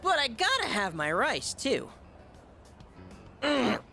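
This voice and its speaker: Gluttonous, pompous high-school boy for a game; high, bombastic, very animated delivery. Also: takes it slowly, faint music behind, full character voice. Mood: dreamy, hungry. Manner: bombastic, dramatic swings between squeals and low asides. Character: gluttonous, pompous, theatrical male high-school student, self-styled gourmet. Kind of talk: video game voice acting.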